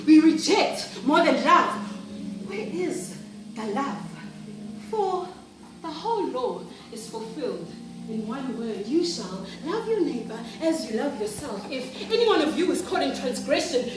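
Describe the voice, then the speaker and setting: High-voiced South African churchwoman, acted by a woman, echoing room. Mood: pleading. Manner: theatrical, emphatic, with repeated phrases and dramatic pauses. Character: devout churchgoing woman, weary of pretence, passionate and troubled. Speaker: monologue performer. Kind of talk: dramatic spoken-word monologue. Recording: very echoey room, distant mic, music behind.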